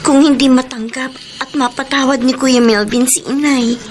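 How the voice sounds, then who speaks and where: A woman plays a young, loyal daughter in a Filipino radio melodrama. Her voice is high, with an earnest and feisty delivery, and the recording has clear noise in it. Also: fairly even intonation, moderate pace, lightly acted. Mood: earnest, worried. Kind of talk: Filipino radio drama acting. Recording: noisy old radio drama recording.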